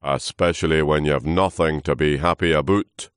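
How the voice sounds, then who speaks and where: A man's voice: rumbling low, fairly even intonation, normal speed, clear, resonant tone, no echo, clean studio sound. A man voicing a grumpy, pompous old tree trunk in a children's story, groaning wearily and full of scorn. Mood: sleepy disapproval.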